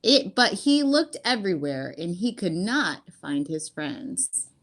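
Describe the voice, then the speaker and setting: A woman reading a storybook aloud to children as a teacher, with an expressive, deliberate storytelling delivery. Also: fairly low pitch.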